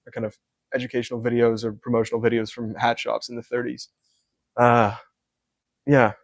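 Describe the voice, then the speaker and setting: Young man, Scottish-born hat maker; soft-spoken, flat and even, lots of ums, dry close sound. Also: mid-range voice, fairly quick, no room sound. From Scotland. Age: young adult. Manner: soft-spoken, many ums, detailed explanations. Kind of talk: casual interview.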